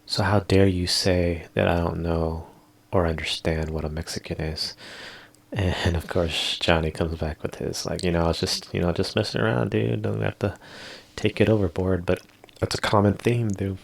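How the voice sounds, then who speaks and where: A man's voice: sits low, level tone, normal speed, vocal fry. A man hosting a casual solo podcast, reading tweets aloud and rambling through his comments with laid-back asides. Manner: laid-back, rambling, chuckling asides, lots of "dude" and "you know". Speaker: podcast host.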